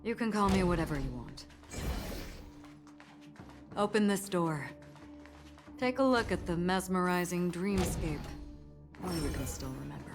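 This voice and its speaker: Low, enigmatic swordswoman in long reverb. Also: lively intonation. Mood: calm, guiding. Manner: measured, formal, cryptic. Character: calm, enigmatic woman swordfighter, wistful galaxy ranger. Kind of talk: video game story acting. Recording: long reverb, clear music behind. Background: music.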